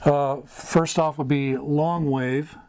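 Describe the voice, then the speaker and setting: A middle-aged American man with a slightly high voice teaches informally. He moves through a checklist in short, halting phrases. The sound is close and dry, recorded indoors. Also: steady tone, darkish tone, no echo. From United States. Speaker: amateur radio mentor, video host. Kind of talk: informal instructional explanation at a whiteboard. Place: small wood-panelled room indoors.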